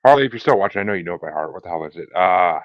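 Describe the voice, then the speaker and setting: A man co-hosting a podcast in casual chat, halting and searching for words, with some swearing. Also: sits low, expressive, dry recording, dull audio. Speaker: podcast co-host.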